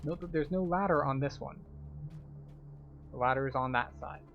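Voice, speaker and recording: A man's voice: fairly high pitch, normal speed, gravelly, highs cut off. A man giving methodical, instructional commentary as the tour guide of a video game walkthrough. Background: game music.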